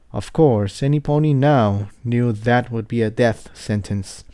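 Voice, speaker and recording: Mellow-voiced man narrating a story. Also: medium pitch, no room sound, clean studio sound. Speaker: amateur fan fiction reader.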